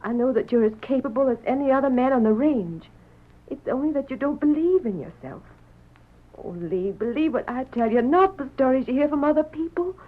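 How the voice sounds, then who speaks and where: A thin, tinny vintage radio recording of a spirited frontier wife. Her highish American voice is urgent, earnest and dramatic, full of fierce pride. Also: a little fast. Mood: earnest pleading. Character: determined, spirited rancher's wife, urging and fiercely proud. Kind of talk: old-time radio western acting.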